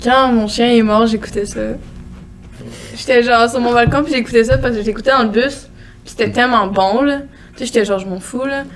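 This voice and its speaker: Teen girl, Québécois accent, higher voice, laid-back jokey podcast co-host. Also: fairly even intonation, fairly quick. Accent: Québécois French. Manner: loose and jokey, with fillers like "genre" and "tu sais". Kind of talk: casual podcast chat.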